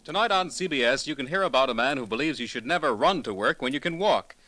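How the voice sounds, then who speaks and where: A man's voice: medium pitch, expressive, fast talker. A man announcing a scripted old-time radio promo in a wry, polished manner. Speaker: network announcer. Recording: old dry radio recording with music.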